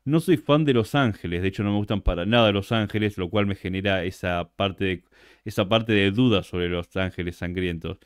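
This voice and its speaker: Young argentine guy chatting on a stream, low voice, dry sound. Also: normal speed, smooth, no echo. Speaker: interview guest. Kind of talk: casual livestream chat.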